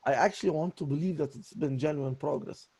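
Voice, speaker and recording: Raspy apologetic man, halting speech. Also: a little high, mellow. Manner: apologetic and halting, many restarts. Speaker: voice student. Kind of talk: voice lesson conversation.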